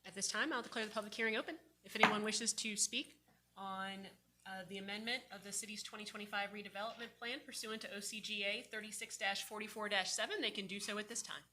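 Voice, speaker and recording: A woman mayor chairing a city council meeting, moving through agenda items with brisk, formal procedural wording. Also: medium pitch, fairly even intonation. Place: council chamber. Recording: loud background noise, clicks.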